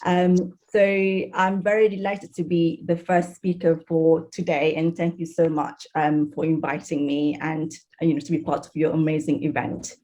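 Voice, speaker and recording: A woman's voice: deep voice, level tone, moderate pace, few pauses, dark tone. A woman in her thirties from Malaysia, giving an online talk as a surgeon. She is tired after a night shift and talks in a friendly way, with frequent ums. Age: 30s. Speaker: guest speaker, surgeon and researcher. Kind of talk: online presentation. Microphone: video call.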